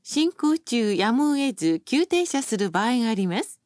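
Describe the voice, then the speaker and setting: A woman's voice: a little high, very animated, normal speed, darkish tone, no echo, clean studio sound. A woman reading scripted bus announcements as a recorded announcer, in a formal, polite and carefully enunciated manner.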